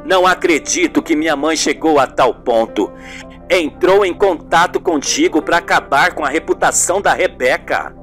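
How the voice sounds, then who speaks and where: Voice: mid-range voice, normal speed, a bit bright, pitched below the performer's own voice, natural voice. A performer voicing a guarded man with a secret, cautious and insistent, in an amateur radio soap. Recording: music bed under the voice.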